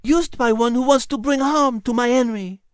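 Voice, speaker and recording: A man's voice: very high-pitched, moderate pace, clear, resonant tone, dry recording, clean studio sound, pitched above the performer's own voice. A man voicing an earnest, caring female animal character in a storybook narration. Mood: grave, accusing.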